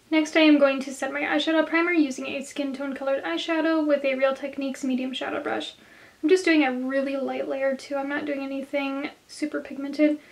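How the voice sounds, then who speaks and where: A woman with a hoarse, slightly nasal voice, chatting through a makeup tutorial for her viewers. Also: high voice. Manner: chatty, talks straight to viewers. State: hoarse from a cold. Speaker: beauty vlogger.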